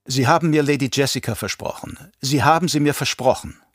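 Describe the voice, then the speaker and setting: A man's voice: mid-range voice, fairly quick, no room sound, clean studio sound, voice pushed up, close to an everyday voice. A man playing a sardonic, resentful advisor to a villain in an audiobook, snide, clipped and muttering. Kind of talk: audiobook character acting.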